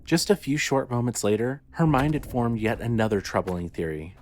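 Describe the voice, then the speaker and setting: A man's voice: medium pitch, lively intonation, moderate pace, a little hiss or hum. A man reading scripted horror fiction as the narrator of an audio story.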